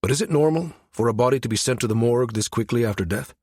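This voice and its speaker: A man voicing a grave, inscrutable investigator with a husky, deliberate delivery in an audiobook. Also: mid-range voice, a little mellow, no echo, studio quality, natural voice. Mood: serious, probing. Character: grave, inscrutable male investigator, measured and probing. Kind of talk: audiobook character dialogue.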